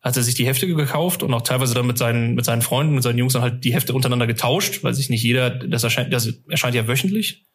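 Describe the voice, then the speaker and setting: Male podcast guest, mid-pitched voice, loose colloquial storytelling with an amused streak. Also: quick pace, breathy edge. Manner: colloquial, rambling, often amused. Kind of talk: casual interview chat, telling personal anecdotes.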